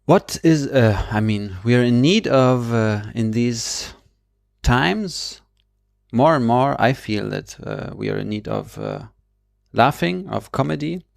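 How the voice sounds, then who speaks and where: A man hosting a podcast in a casual, conversational way, with hesitant, drawn-out phrasing. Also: medium pitch, very animated, creaky, no echo, clean studio sound. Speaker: podcast host.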